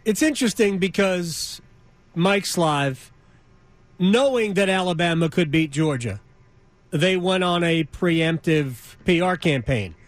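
High-pitched man hosting sports radio. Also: lively intonation, slow, no echo. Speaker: sports talk radio host. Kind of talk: casual sports radio conversation. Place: radio studio. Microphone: close studio microphone.

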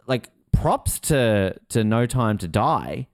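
A man's voice: medium pitch, expressive, slow pace, dry recording, studio quality. A man talking informally as a podcast co-host in casual chat.

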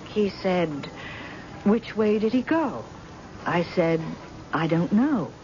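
A fretful wife, animated intonation. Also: female voice, mid-range voice, slow pace. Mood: anxious. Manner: fretful, submissive. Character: anxious wife reluctantly covering for her husband. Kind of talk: 1970s radio drama acting. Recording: dull, slightly noisy old radio broadcast recording.

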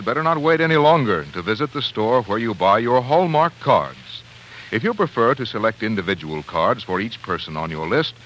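Bright, forceful American radio announcer man. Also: mid-range voice, slight background noise, rough recording. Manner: polished, salesmanlike, clearly phrased. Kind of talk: 1940s radio advertising and sign-off announcing. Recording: 1940s radio broadcast recording.

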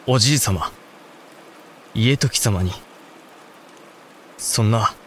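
A man's voice: fairly low pitch, takes it slowly, a bit bright, a little hiss or hum. A man playing an earnest young samurai heir, formal, respectful and intense with his father, in a Japanese historical audio drama. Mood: bewildered.

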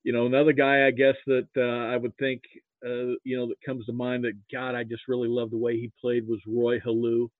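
Muffled, phone-like man reminiscing, rambling and halting. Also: mid-range voice, completely flat delivery, no echo. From Nebraska. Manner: rambling, halting, repeats words. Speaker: interview guest, sports history archivist. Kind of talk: casual reminiscing about football.

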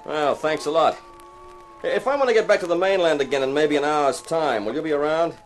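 An actor playing a dry, wisecracking insurance investigator who narrates a 1950s radio detective drama. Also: male voice, on the high side, brisk, natural voice. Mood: wry probing. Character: wry, hard-boiled male insurance investigator and narrator. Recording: slightly noisy 1950s radio recording.

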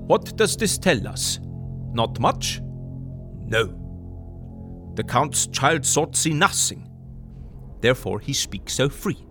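Old professor, slow and all over the place pitch-wise, faint music under. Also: male voice. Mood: lecturing. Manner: broken English, emphatic lecturing, lively swings. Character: elderly male Dutch professor, earnest, lecturing. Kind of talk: audio drama acting.

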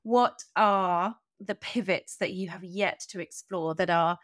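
A woman's voice: lowish voice, a little slow, a bit bright, dry recording. Woman podcast host, very dry recording. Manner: wordy, reflective questions with playful asides. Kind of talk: conversational interviewing.

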